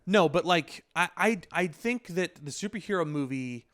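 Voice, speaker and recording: A man's voice: on the high side, lively intonation, moderate pace, a bit bright. A man co-hosting a casual pop culture discussion, talking in a discursive, opinionated way with elaborate wording. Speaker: podcast co-host.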